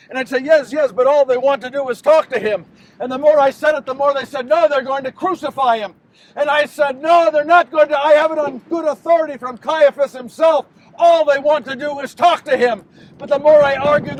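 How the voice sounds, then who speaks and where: Guy doing a guilt ridden biblical betrayer, really high pitched for a man, dramatic stage monologue, impassioned with big pauses. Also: low-quality recording. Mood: agitated, desperate. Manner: impassioned, dramatic pauses, swings from hushed to shouting. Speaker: actor performing a dramatic monologue. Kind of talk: theatrical first-person biblical monologue.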